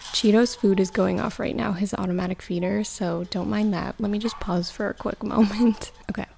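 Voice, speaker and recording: A woman's voice: medium pitch, fairly even intonation, normal speed, gravelly, dark tone, a little hiss or hum. A young woman, hosting a casual solo podcast in a sleepy morning voice, chatty with lots of filler words. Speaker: podcast host.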